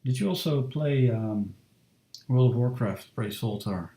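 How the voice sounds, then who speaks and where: A man in his thirties talks easily to his viewers while sketching, with a dark, mellow voice and a gravelly edge. His chat is loose and full of fillers, and the sound carries the echo of a roomy home studio. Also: mid-range voice. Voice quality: soft, relaxed and unhurried. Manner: chatty, lots of fillers, reads chat. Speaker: streamer drawing fan art. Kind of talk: casual live-stream chat while drawing.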